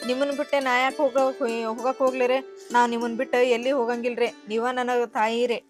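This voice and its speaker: A woman's voice: fairly high pitch, little pitch movement, fast, faint music behind, natural voice. A young woman with a North Karnataka Kannada accent, playing a respectful, devoted daughter-in-law who speaks politely to her elders. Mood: tender. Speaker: actor. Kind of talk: village family drama acting.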